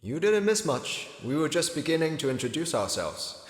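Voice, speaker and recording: A man's voice: fairly high pitch, sing-song, normal speed. A man playing an organized dance rehearsal director in an audio drama, giving orderly instructions. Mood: businesslike. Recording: echoey, reverberant hall.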